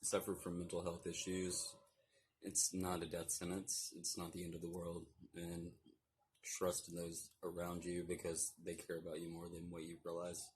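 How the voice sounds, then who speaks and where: A man from the central United States speaks calmly and sincerely. His voice is very deep and almost completely flat in pitch. A faint hum of ambience sits under it. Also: normal speed.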